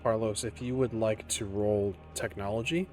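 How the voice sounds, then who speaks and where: A man acting as game master, improvising narration with deliberate, dramatic phrasing and pauses. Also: lowish voice, fairly even intonation. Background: faint music.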